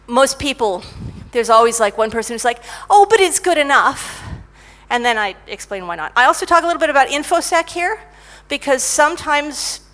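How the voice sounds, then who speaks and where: A middle-aged woman giving a conference talk about technical writing, lively and self-mocking as she tells stories. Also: high-pitched. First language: English. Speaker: conference speaker, technical writer.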